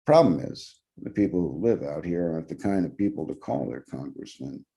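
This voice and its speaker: A man with a very low, dark and mellow voice reads a short story. He narrates in the first person like an actor on stage, measured and dramatic, letting phrases linger. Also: animated, gravelly.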